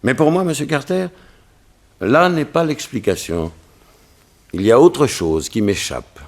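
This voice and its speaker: A man's voice: mid-range voice, lively intonation, unhurried. A man playing a middle-aged, troubled and dignified politician, in the formal, earnest manner of 1960s French radio drama. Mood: earnest, indignant. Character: troubled, dignified politician father of a teenage son.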